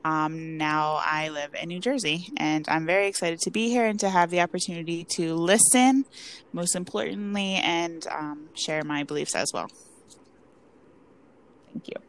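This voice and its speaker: A woman's voice: fairly low pitch, fairly even intonation, moderate pace. A woman from Pennsylvania, a podcast guest speaking politely with a few hesitations.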